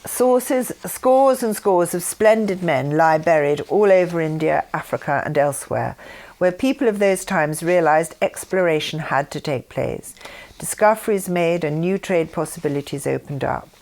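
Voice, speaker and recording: A woman reading a written text aloud in a measured, deliberate way, phrase by phrase. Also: fairly low pitch, animated, darkish tone. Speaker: reader of her mother's writings.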